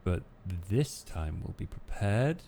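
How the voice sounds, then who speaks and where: A man's voice: low voice, sing-song, takes it slowly, creaky, heavy background noise, exaggerated voice. A man playing a hesitant, curious undead man in a tabletop game, speaking in a subdued way and repeating his phrases. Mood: hesitant, insistent.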